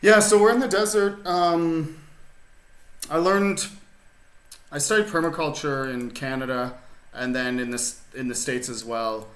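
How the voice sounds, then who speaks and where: Laid-back rambling man, bright roomy voice. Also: on the high side, steady tone, a little slow, pauses often. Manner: rambling and laid-back, with many fillers and restarts. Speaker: chess streamer. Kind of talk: casual chat with viewers while playing. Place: home in a rural desert area. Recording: roomy echo.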